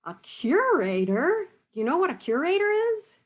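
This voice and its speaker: A woman reading a picture book aloud to children, pausing to explain words and ask gentle questions. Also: very high voice, big pitch swings. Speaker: storytime reader.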